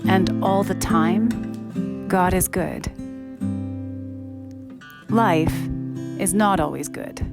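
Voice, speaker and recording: A woman reading a scripted church welcome and reflection in a measured, reverent way. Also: mid-range voice. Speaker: worship leader.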